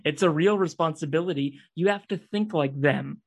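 A man's voice: on the high side, moderate pace, slightly rough. An intense young man in a radio drama, played by a male actor. He is distraught and angry, a climate radical who presses his case insistently and accuses as he goes. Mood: impassioned.

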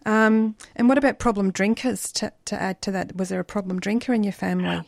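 A woman interviewing a guest, asking gentle questions and backing them with short listening sounds. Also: mid-range voice, fairly even intonation, brisk, vocal fry, no echo.

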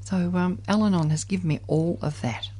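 A woman's voice: on the low side, a little fast, mellow, breathy edge. A woman of seventy sharing her personal story in conversation, reflective and candid. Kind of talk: personal recollection in group conversation.

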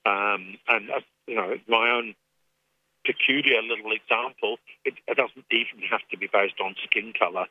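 A man with an English accent, speaking as an activist guest in a radio phone interview, earnest and measured with frequent restarts. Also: lowish voice, no echo. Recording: tinny phone line.

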